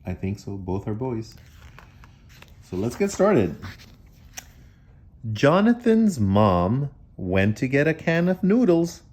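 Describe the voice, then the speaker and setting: A woman's voice: a bass voice, big pitch swings, slow, long pauses, darkish tone. A woman reading a children's story aloud expressively, breaking off for incredulous casual asides. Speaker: storybook reader.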